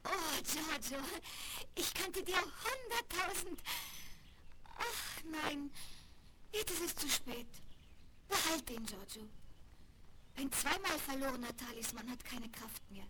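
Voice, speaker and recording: A warm-hearted young circus girl with a high voice, superstitious and earnest, from a seventies audio drama. The worn vinyl is very noisy and clicky. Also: lively intonation, slow pace, long pauses. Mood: wistful. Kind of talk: 1970s German children's audio drama acting.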